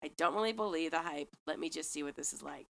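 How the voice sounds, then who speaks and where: A woman co-hosting a casual podcast, hoarse from a cold, animated and laughing a lot. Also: sits low, fast talker. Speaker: podcast co-host. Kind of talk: casual chat.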